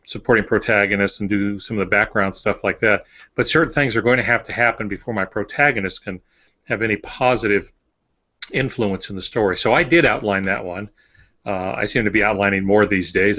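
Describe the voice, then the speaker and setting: A man, a novelist, talking casually on a podcast in a measured, explanatory way. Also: fairly low pitch, pushed and bright. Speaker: thriller novelist, podcast co-host. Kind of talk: casual podcast discussion of writing. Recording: dull, lo-fi podcast audio.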